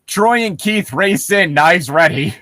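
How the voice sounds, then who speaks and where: A man's voice: high-pitched, no echo, studio quality. A man co-hosting a comedy podcast, casually reading aloud and riffing with incredulous asides. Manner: animated, quick to laugh, mock-incredulous asides. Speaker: podcast co-host.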